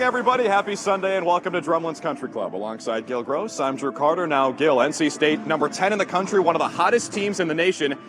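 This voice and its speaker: A young American man in his twenties doing live sports play-by-play and show openings in a polished, energetic announcer delivery. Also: fairly high pitch. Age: 20s. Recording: noisy sports venue ambience.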